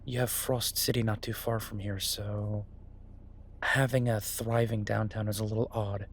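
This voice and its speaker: A man playing an earnest, easygoing chef in a roleplay audio drama, talking haltingly and reassuringly to a partner. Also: fairly low pitch, little pitch movement, a little hiss or hum, close to an everyday voice. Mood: casual, enthusiastic. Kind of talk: roleplay audio drama, conversational monologue to listener. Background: ambient sound effects.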